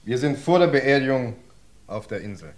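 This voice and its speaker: A man's voice: medium pitch, lively intonation, moderate pace, very noisy, natural voice. An actor playing a hesitant, deferential junior policeman in a German radio crime play. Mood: apologetic.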